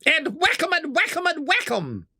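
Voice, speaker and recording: A reader voicing an excitable, melodramatic male toad in a storybook. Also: mid-range voice, slow pace, husky, dry recording, studio quality, pitched above the performer's own voice, full character voice. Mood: eager, excited. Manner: sobbing and melodramatic, swooping.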